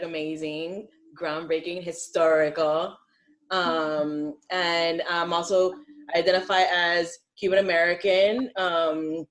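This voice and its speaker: A woman with a slightly low voice talks casually on a panel. Her delivery is informal, coming out in short, halting phrases. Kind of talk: casual self-introduction and panel talk.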